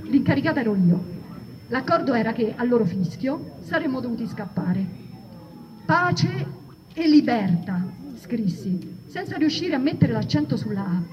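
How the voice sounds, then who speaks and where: High voiced woman doing a theatrical memoir reading, far from the mic w crowd noise behind. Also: very animated, moderate pace. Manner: theatrical, acts out shouts and quoted speech. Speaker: reader at a theatre event. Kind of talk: dramatic reading of a first-person memoir. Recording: distant mic, dull sound, audience noise.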